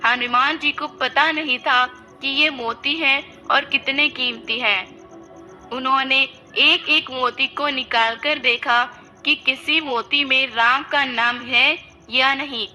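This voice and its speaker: Bright, high-voiced female storyteller. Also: fairly even intonation, slow, soft background music. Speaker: narrator. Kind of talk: scripted storybook narration.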